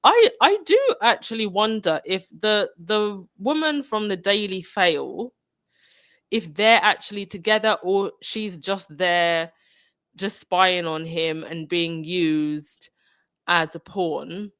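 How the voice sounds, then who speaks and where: A woman's voice: on the low side, slow pace, fairly bright tone, no room sound. Lady with a stuffy cold doing a chatty live podcast, dry sound. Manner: chatty, reads out viewer comments. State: congested with a cold. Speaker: podcast host. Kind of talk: casual live-stream commentary.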